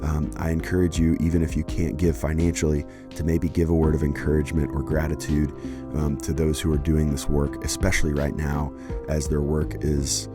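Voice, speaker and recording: A man hosting and introducing an interview for his church community, talking earnestly with lots of fillers and quick asides. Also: extremely low pitch, completely flat delivery, over music. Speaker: pastor and host.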